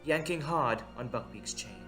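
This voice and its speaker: Male audio drama narrator, medium pitch. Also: moderate pace, bright, forceful tone, soft background music.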